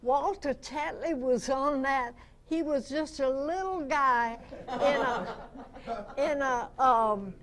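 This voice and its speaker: A woman in her nineties, a veteran radio actress, reminiscing as a guest speaker in an anecdotal way. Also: sits high. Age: 90s. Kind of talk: unscripted reminiscing to a club audience. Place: club meeting room, in front of an audience.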